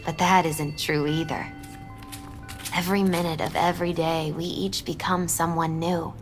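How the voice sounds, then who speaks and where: A woman acting as an earnest young tarot reader in a video game, speaking in a measured, solemn, mystical manner. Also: low-pitched, level tone, soft background music, lightly acted.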